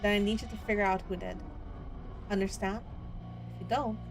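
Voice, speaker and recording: A woman's voice: mid-range voice, fairly even intonation, moderate pace, soft background music, natural voice. Woman voicing a menacing rural hunter, stern and commanding. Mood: threatening, commanding. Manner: commanding, threatening. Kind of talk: visual novel character read-aloud.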